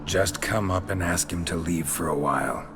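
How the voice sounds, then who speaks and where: A man playing a monster hunter in a fantasy video game, a gravelly-voiced figure who is at times possessed by a rakish ghost. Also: deep voice, normal speed, noisy background, close to an everyday voice. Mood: dry, irritated. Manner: dry and deadpan, turning florid and flirtatious when possessed. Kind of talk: video game cutscene dialogue.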